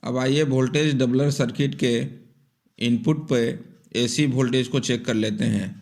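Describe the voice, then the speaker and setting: A man's voice: medium pitch, fairly even intonation, unhurried, darkish tone. A man giving step-by-step instructional narration for an electronics repair tutorial in a methodical, explanatory manner.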